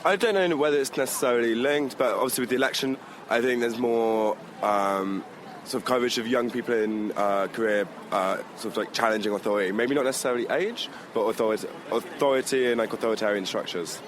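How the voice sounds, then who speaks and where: A man's voice: mid-range voice, fast talker. Man, hedging thoughtful answers, outdoors, traffic. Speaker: street interviewee. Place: city street outdoors. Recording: street recording with traffic noise.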